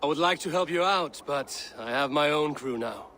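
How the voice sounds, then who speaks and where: A blunt, honor-bound Viking warrior, with a bright, fairly high voice. It is a video game cutscene with faint noise behind. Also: male voice, normal speed. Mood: stern. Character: stern male Viking warrior, proud and blunt.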